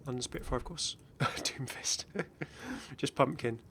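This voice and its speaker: A man hosting a gaming video with casual, excited reaction commentary in a rambling, exclamatory way. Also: mid-range voice, slow pace, vocal fry, whispered. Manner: rambling, exclamatory, chuckling asides.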